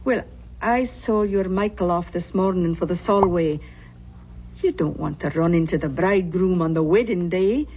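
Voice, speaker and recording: An actress playing a kindly, superstitious older Scottish aunt, homely and solicitous, in an old-time radio mystery. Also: female voice, fairly low pitch, normal speed, a little mellow, close to an everyday voice. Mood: fussing. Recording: dull, faintly noisy old radio recording.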